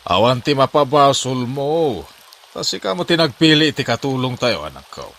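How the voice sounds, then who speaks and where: A man's voice: mid-range voice, big pitch swings, normal speed, fairly bright tone, a little hiss or hum. A man playing a soothing, cautioning father in a comedy drama, pleading as he speaks. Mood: concerned, cautioning.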